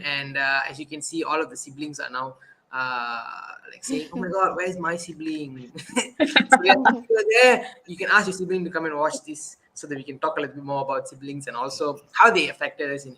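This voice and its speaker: Bright-voiced upbeat male host. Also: on the high side, poor audio. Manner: upbeat, laughing, fillers and restarts. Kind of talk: casual online talk show.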